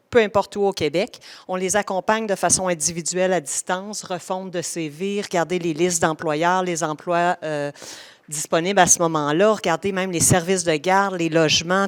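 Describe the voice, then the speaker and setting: A woman's voice: mid-range voice, smooth. A woman with a Québécois accent, a regional agent giving an enthusiastic, informative presentation that runs through lists with frequent restarts. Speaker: regional youth settlement agent.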